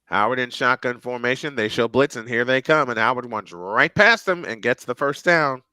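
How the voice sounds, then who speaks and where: A man calls the game play by play, folksy and chatty in between. His voice is bright and smooth. The sound is dry and close to the mic. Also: mid-range voice, moderate pace, no echo. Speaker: play-by-play announcer. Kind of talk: fan livestream play-by-play commentary.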